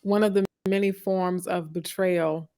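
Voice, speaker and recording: A woman's voice: on the low side, steady tone, unhurried, dark tone, clean tone. Reflective woman hosting a discussion. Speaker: host interviewing a guest. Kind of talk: thoughtful conversational discussion. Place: live online video call.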